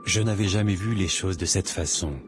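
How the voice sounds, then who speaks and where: Man as a sincere young cartoon hero, very deep voice, clear music behind. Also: moderate pace, lightly acted. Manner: earnest, sincere. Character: earnest young man, a lawyer. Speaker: narrator and protagonist.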